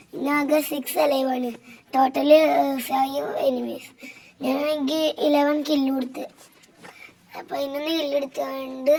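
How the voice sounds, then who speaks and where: A gamer with a northern Kerala Malabar accent gives casual, halting commentary, recounting gameplay moves step by step. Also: high-pitched, creaky, darkish tone, slight background noise, low-quality recording. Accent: northern Kerala Malabar Malayalam.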